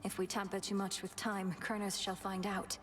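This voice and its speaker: A woman playing an earnest, resolute young witch princess in a video game, speaking in a formal, measured manner. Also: medium pitch, flat delivery, natural voice. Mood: serious, questioning. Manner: formal, measured, archaic phrasing. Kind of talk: video game drama acting.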